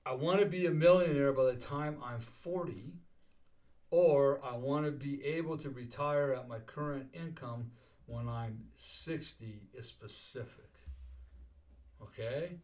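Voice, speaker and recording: A man's voice: medium pitch, unhurried, a little mellow. Man in his sixties coaching, repeats himself. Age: 60s. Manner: repeats words and restarts, says "okay?" often. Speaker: life coach and podcast host. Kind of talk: casual podcast chat.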